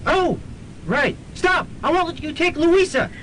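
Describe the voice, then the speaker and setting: A man's voice: lively intonation, unhurried, fairly bright tone, heavily acted. An actor playing a timid, lovestruck college boy, hesitant and earnest. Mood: nervous bravery. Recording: faintly noisy student radio recording, rumble.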